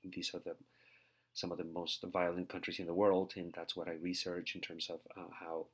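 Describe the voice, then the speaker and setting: A man's voice: deep voice, flat delivery, moderate pace, slightly rough. A man, giving a recorded university lecture as a teaching assistant, hesitant with many fillers and repeated words. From Peru. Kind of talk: recorded lecture over slides.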